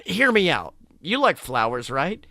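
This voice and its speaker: A man's voice: a little high, very animated, measured pace, gravelly, pushed and bright, dry recording, studio quality, full character voice. A man voicing an upbeat, friendly young man in a story, eager and persuasive. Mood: coaxing.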